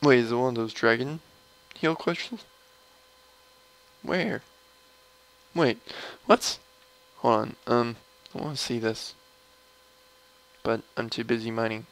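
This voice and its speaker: A young man with a low voice, rambling casually through gameplay commentary at his computer. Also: fairly bright tone, poor audio. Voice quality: low, gravelly voice. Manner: slow, mumbly and rambling, trailing off. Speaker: gamer streaming a mining session.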